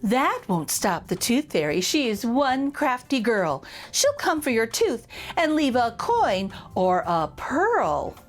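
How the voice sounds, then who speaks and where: A calm mother shark from a picture book, voiced by a woman, soothing and confident. Her speech is slow and lilting, rising and falling freely, with soft music faint in the background. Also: on the high side, heavily acted. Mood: reassuring.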